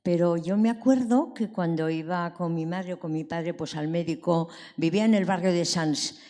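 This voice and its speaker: A woman's voice: fairly low pitch, normal speed, mellow. Elderly Spanish woman reminiscing in echoey hall. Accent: Spain. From Barcelona, Spain. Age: 70s or older. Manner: digressive, storytelling. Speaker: audience member. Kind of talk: spoken personal reminiscence. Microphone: handed audience microphone. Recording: echoey hall, long reverb.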